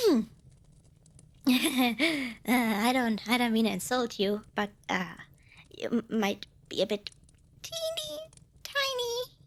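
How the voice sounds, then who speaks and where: Woman acting as small cute demon girl, high voice, swooping intonation, nervous, bubbly, salesy, polite, ASMR roleplay. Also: exaggerated voice. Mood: awkward, embarrassed. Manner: hesitant stammering, bubbly, over-polite. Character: cute little female demon, eager, nervous, cheekily salesy.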